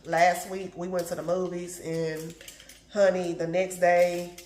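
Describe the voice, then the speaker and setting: Slow-talking congested woman vlogger. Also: fairly low pitch, level tone, roomy sound, noisy background. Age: middle-aged. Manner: chatty, addresses viewers as y'all and baby. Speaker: lifestyle vlogger. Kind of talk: casual chat while cooking. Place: home kitchen.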